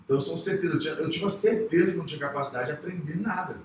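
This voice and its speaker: Man, psychologist guest, earnest and halting, slightly high voice, echoey room heard from afar. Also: distant mic, a little hiss or hum. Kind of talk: personal testimony in casual talk.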